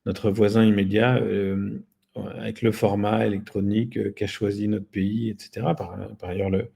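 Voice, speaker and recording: A man's voice: on the low side, level tone, normal speed, gravelly, dark tone, husky. A man speaking as an interview guest, explaining things casually with a wry, self-deprecating manner. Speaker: guest, company founder.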